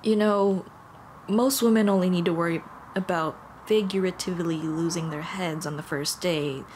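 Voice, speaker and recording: A woman's voice: lowish voice, steady tone, moderate pace, darkish tone, close to an everyday voice. Woman voicing a nervous, stammering monster girl, noisy ambience. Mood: sheepish. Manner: hesitant, stammering, self-deprecating. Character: nervous, apologetic young female dullahan with a detachable head. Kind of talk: ASMR roleplay, intimate monologue to the listener.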